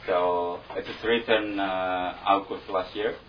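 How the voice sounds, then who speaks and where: A man with an Indonesian accent speaks in a very low voice, pausing and restarting as he recalls his volunteer placement. He sounds set back from the microphone. The sound is dull, with a faint hum behind him. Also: steady tone. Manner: hesitant, restarts, non-native phrasing. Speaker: returned volunteer. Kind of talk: informal talk to an audience. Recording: distant, dull mic with faint noise.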